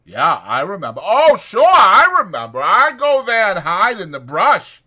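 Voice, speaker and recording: A man's voice: sits high, huge pitch swings, bright, forceful tone, pitched above the performer's own voice, full character voice. A man voicing a timid, simple-minded, childlike ranch hand with pleading rural American speech in a dramatized audiobook. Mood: earnest recall. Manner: pleading, slow-witted, rural American dialect.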